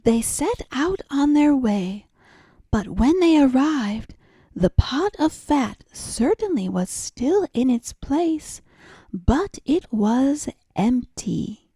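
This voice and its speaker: Very slow, soft-voiced woman storyteller. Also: high voice, sing-song, no echo. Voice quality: soft, breathy. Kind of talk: soft bedtime story narration.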